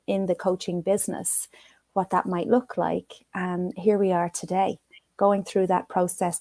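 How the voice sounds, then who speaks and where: Animated Irish woman, low and dry. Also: no room sound. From Ireland. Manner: animated, expressive storytelling. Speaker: guest, personal development coach. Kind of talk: conversational interview.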